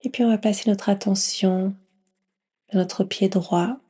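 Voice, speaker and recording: A woman leading a guided yoga nidra meditation in a gentle, soothing near-whisper with long pauses. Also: medium pitch, steady tone, breathy edge.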